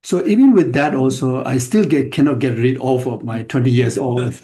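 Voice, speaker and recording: An older man teaching the dharma to an audience. He speaks in halting fragments, often repeating words and restarting phrases, in a plain, reflective and self-mocking way. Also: medium pitch, expressive, mellow. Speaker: Buddhist teacher.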